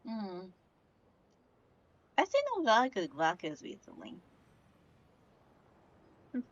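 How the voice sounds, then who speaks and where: A woman's voice: medium pitch, huge pitch swings, slow. A woman gives casual commentary on a game stream in a mid-range voice. She muses offhandedly, and the sound is a little dull and lo-fi. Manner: musing, offhand. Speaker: livestreamer.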